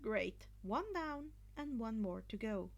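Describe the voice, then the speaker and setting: A woman's voice: fairly high pitch, lively intonation, slow, creaky. A woman reading fan fiction aloud as an amateur narrator of a scripted story.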